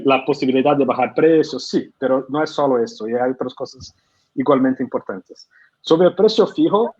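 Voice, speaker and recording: A man's voice: medium pitch, a little mellow, husky, highs cut off. A man from Brazil with a Brazilian Portuguese accent, a publishing consultant answering questions in Spanish at an online conference, with frequent fillers and restarts. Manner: hesitant non-native Spanish with many fillers and restarts. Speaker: publishing consultant, guest speaker.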